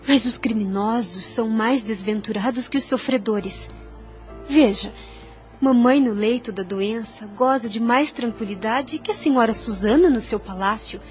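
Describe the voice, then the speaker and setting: A Brazilian actress playing a gentle, devout, self-sacrificing young daughter in an audio drama, speaking in earnest, formal phrases. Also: female voice, fairly high pitch, close to an everyday voice. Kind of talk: Brazilian radio drama acting.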